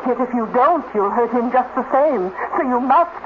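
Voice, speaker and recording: A woman's voice: on the high side, animated, moderate pace, lightly acted. Woman as relentless cold female doctor, bitter, cutting, vintage radio drama, noisy narrow recording. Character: cold, bitter, vengeful woman robot scientist. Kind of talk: 1950s radio drama acting.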